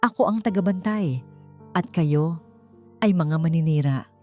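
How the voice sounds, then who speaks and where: A woman's voice: low voice, unhurried, mellow, faint music behind. Woman voicing a grave, commanding Filipino guardian spirit. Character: huge, solemn, commanding male ancestral tree guardian spirit.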